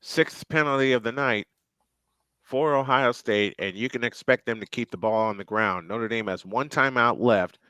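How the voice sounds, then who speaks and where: Male play-by-play caller, chatty between plays, crisp and dry. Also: medium pitch, moderate pace, bright, forceful tone, no echo, low-quality recording. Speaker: play-by-play commentator. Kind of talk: live football commentary.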